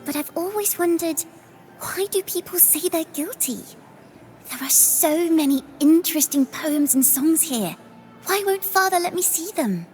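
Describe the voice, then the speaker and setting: A bubbly little girl from a video game. Her high voice swoops with curiosity and earnest wonder, and soft music plays underneath. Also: animated, unhurried, long pauses. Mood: curious. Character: curious, eager little girl dreaming of being a bard.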